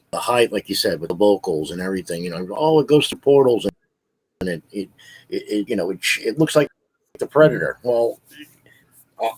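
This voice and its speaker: A man with a very deep, husky voice talks on a podcast. He rambles and halts between his thoughts. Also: no echo, poor audio. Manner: rambling, halting. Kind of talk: casual podcast chat.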